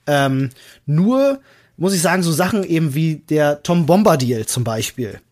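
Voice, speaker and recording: A man's voice: on the high side, expressive, unhurried, darkish tone. A man hosting a podcast in casual conversation, explaining things in a rambling, wordy way. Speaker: podcast host.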